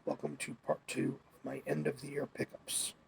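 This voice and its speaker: Gravelly young man, congested. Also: mid-range voice, normal speed, slight background noise. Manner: relaxed, rambling, many fillers and restarts. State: congested, recovering from a cold. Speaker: vlogger. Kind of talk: casual chat.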